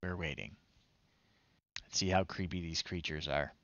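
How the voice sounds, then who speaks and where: Middle-aged male game streamer, bright forceful tone, lowish voice, wry and unscripted, slightly lo-fi close mic. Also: steady tone, normal speed, no room sound. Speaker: retro game streamer, host. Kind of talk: casual live game-stream commentary, reading on-screen text aloud. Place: small indoor room, livestream setup.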